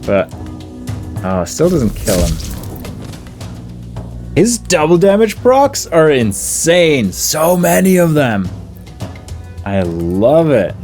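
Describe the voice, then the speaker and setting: A man's voice: a little high, sing-song, slow pace, pauses often, a little mellow, soft background music. A man giving casual game commentary on his own playthrough, thinking aloud and easily amused.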